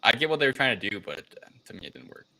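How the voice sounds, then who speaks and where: A man's voice: medium pitch, very animated, rapid-fire, vocal fry, sharp tone, low-quality recording. A man co-hosting a casual movie review chat, rambling informally through a plot recap and sometimes swearing. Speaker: podcast co-host.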